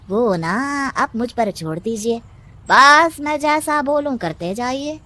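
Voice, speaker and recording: A woman voicing a sly, scheming male adviser to a village headman in an animated moral story, insinuating and chuckling. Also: fairly high pitch, drawn-out words, heavily acted.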